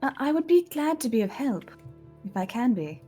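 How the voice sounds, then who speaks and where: A woman's voice: high-pitched, big pitch swings, moderate pace, warm tone. A woman chatting casually as a player in an improvised tabletop roleplaying game. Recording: music playing behind the voice. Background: background music.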